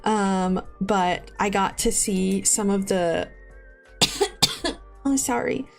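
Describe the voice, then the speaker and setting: Woman in her 20s, streamer, stuffy and sniffly from a cold; slow, rambling, giggly delivery. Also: mid-range voice, gravelly, soft background music. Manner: rambling, laughs often. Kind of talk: casual live-stream chat.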